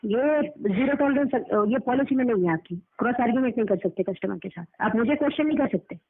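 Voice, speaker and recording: A woman's voice: on the high side, expressive, quick pace. A woman arguing as a customer's advocate on a recorded phone call, lecturing and questioning, repeating phrases for emphasis. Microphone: telephone.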